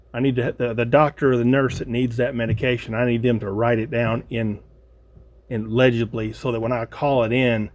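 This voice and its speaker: Halting American man thinking aloud. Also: mid-range voice, a little mellow. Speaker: hobbyist video host. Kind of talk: casual unscripted project walkthrough.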